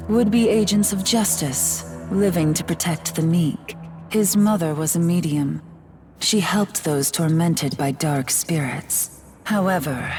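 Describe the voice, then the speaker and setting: A silky fallen goddess. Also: female voice, deep voice. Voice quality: silky, refined, condescending. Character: elegant scheming fallen goddess, female, ageless. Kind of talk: video game cutscene voice acting. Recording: game audio with music behind.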